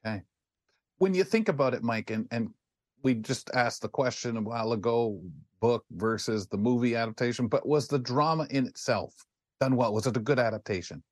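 A man hosts a talk show in a conversational way, mixing questions with his own comments. His mid-pitched voice sounds very dry, with no room around it. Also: moderate pace, no room sound. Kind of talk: conversational interviewing.

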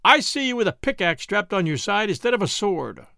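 A man's voice: high voice, big pitch swings, a little fast, vocal fry, pushed and bright, no echo, voice pushed up, heavily acted. A man playing a sulky young camp cook who snarls and sneers at his mess-mates. He keeps the voice raised and swooping, and the sound is dry and close. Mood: sneering. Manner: snarling, sarcastic.

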